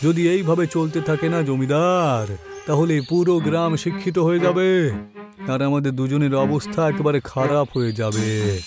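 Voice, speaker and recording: A man's voice: a little high, moderate pace, natural voice. A man playing a jealous, scornful, scheming village headman in a cartoon, speaking emphatically. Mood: scornful, aggrieved. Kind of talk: dubbed cartoon acting. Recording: voice over background music.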